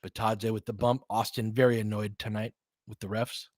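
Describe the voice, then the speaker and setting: A man's voice: fairly low pitch, flat delivery, normal speed, darkish tone, dry recording, studio quality. A man calling a basketball game live in clipped phrases, with casual, fan-like asides between the plays. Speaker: basketball play-by-play commentator.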